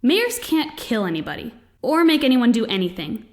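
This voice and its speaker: A woman's voice: on the high side, very animated, normal speed, slight background noise. A woman voicing a sensible, earnest young librarian, exasperated and explanatory, in a comic dub. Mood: matter-of-fact.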